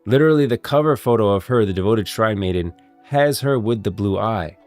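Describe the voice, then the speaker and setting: A man's voice: on the low side, lively intonation, fairly quick, a little mellow. A man doing informal live-stream commentary on game lore, reading out and explaining an article, stressing points by repeating them. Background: music.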